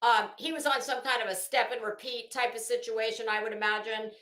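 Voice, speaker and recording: A woman's voice: on the high side, fairly even intonation, a little fast, sharp tone. Emphatic woman in a roomy, echoey space. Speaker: online commentary host. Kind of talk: casual opinionated commentary, rambling. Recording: roomy, echoing room sound.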